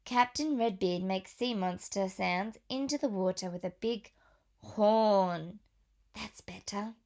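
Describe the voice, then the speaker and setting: A woman teacher reading a picture book aloud to young children, pausing for questions and gentle whispered asides. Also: medium pitch, creaky.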